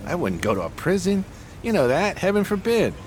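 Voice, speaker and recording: An actor playing a pompous, genteel homeless schemer in a 1920s radio play, speaking in flowery, courteous phrases. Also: male voice, high-pitched, huge pitch swings, fairly quick, slight background noise. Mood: lofty, indignant.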